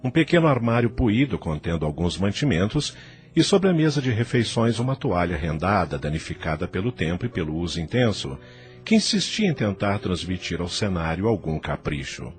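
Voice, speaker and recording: A man's voice: deep voice, very animated, normal speed, soft background music. A man narrating a scripted audio novel as its storyteller.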